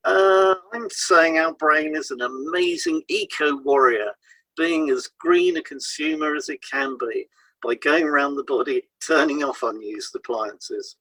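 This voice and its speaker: A man's voice: on the high side, measured pace, pushed and bright, no echo, poor audio. A man co-hosting an educational podcast, reading scripted explanations and stressing key points. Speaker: podcast co-host, hypnotherapist.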